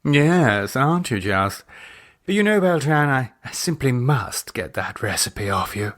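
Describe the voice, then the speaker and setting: A man's voice: mid-range voice, animated, a little slow, a bit bright. A man voicing a flirtatious, teasing upper-class wife in an audiobook, with a coy, gushing manner. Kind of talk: audiobook character acting.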